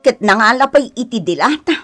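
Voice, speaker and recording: A woman's voice: on the high side, animated, a little fast, fairly bright tone, faint music behind. A Filipino woman with an Ilocano accent acting an aggrieved young wife in a radio drama, plaintive and protesting. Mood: indignant. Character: aggrieved young wife, resentful of her in-laws.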